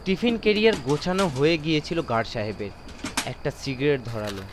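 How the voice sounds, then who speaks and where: A man's voice: a little high, a little fast, noisy background. A man narrating a first-person horror story in a suspenseful, theatrical manner. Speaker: narrator of audio horror stories.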